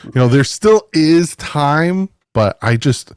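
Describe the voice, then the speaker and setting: A man talking football in a casual podcast chat, animated and exasperated, slipping into mocking voices. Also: a little high, takes it slowly, smooth, no echo, clean studio sound. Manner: animated, mocking the front office in put-on voices. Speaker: guest fan analyst.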